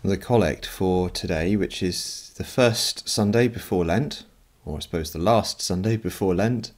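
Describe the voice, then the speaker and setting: A man speaks as a priest leading evening worship. His voice is on the low side and his delivery is very slow. He reads psalms and prayers solemnly, setting out each phrase in turn. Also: a little mellow. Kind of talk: liturgical prayer and scripture reading.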